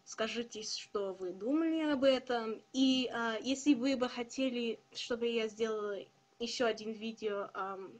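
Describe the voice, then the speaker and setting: Young non-native woman vlogger; high, unhurried delivery, careful and halting. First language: not Russian. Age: young adult. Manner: hesitant, careful learner's speech with many pauses. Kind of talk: casual video address to viewers.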